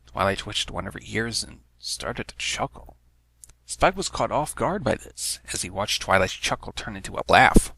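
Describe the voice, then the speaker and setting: A man's voice: lowish voice, gravelly, sharp tone, no echo. A man reading fan fiction aloud as a scripted story narration.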